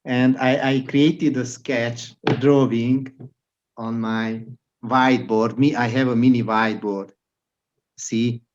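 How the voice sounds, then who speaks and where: A man from Hungary with a Hungarian accent, hosting a podcast interview in casual chat and speaking in halting phrases with frequent restarts. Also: medium pitch, fairly even intonation, background noise. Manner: halting, restarts words, laughs softly. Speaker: podcast host. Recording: dull lo-fi audio.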